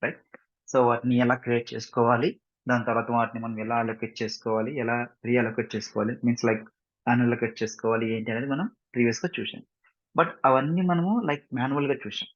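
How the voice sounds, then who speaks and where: Husky Telugu Indian man teaching, muffled audio. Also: medium pitch, steady tone, fast talker. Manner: repeatedly checks understanding with short tag questions. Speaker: software instructor. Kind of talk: step-by-step screen tutorial, mixing in English. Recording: muffled lo-fi mic, roomy echo.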